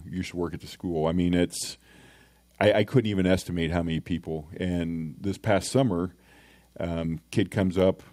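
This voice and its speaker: Middle-aged cop guest, very low, dark dry voice, earnest, plainspoken anecdotes. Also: male voice, no echo. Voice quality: deep voice. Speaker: school resource officer. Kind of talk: casual podcast interview.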